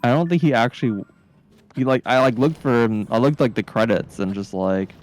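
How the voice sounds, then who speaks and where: A man's voice: mid-range voice, brisk. A man chatting casually as a game streamer, rambling and hesitant, full of his own opinions. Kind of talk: casual chat over gameplay.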